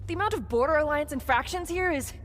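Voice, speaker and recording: An actress playing a feisty, sarcastic young female rebel in a video game, blunt and sharp-witted. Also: high voice, fast, music in the background, lightly acted. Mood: mocking, challenging. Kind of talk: video game cutscene acting.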